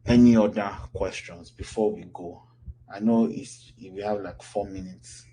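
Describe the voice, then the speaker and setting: A man's voice: lowish voice, steady tone, a little slow, dark tone, slight background noise, low-quality recording. A Nigerian man in his thirties, an instructor answering questions in a loose, chatty online class. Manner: loose, chatty, with lots of fillers and restarts.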